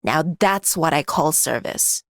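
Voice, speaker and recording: A woman's voice: sits low, animated, measured pace, no room sound, clean studio sound. A woman playing a cocky, brash, ageless blacksmith warrior in a video game, with a slangy, blunt, swaggering way of talking. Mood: brash, opinionated. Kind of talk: video game character voice lines.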